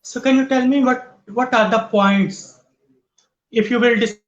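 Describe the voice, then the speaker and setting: A man's voice: very high-pitched, fairly even intonation, measured pace. A man hosting an online event and putting questions to a guest. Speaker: host. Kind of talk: interview questions. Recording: dull, echoey online call audio.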